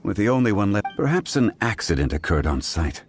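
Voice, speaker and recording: An actor playing a calm, erudite consultant in a video game, speaking in a formal, measured, deliberate way. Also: male voice, fairly low pitch, slight background noise. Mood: composed, analytical. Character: calm, dignified, erudite male consultant investigating a case. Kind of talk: scripted video game dialogue.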